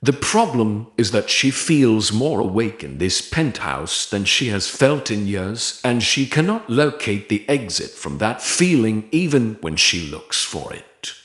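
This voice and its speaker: Slow, low-voiced man narrating with wide pitch swings. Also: very animated, fairly bright tone, reverberant. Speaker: audiobook narrator. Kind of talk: scripted dramatic romance narration.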